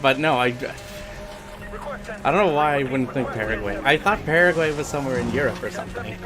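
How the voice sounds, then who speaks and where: A man chats with his viewers while he plays a racing game, musing aloud and apologizing between guesses. His voice sits in an ordinary middle register. Game music plays clearly behind him, with engine sounds. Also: medium pitch, a little fast. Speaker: streamer. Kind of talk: casual livestream chat while playing a racing game. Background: game music and engine noise.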